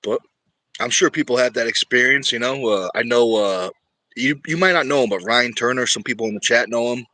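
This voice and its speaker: Easygoing guy hosting a casual stream, bright voice, dry close sound. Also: mid-range voice, fairly quick, no echo. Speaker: livestream host. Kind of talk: casual livestream chat about arm wrestling.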